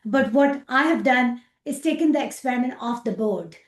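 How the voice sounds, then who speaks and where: A middle-aged woman with a Pakistani accent, an interview guest talking about her work with animated repetitions and fillers. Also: on the high side, normal speed, roomy sound. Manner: animated, repeats words, frequent fillers, laughs easily. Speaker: rocket scientist guest. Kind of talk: casual interview chat.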